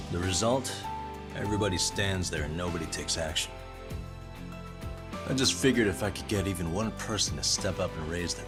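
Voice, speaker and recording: A male actor plays a private detective in his thirties with a deep, low voice. He talks in a measured, casual and wry way, as in a dubbed game drama. Music plays clearly behind him. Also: lightly acted. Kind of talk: English dub of a video game drama.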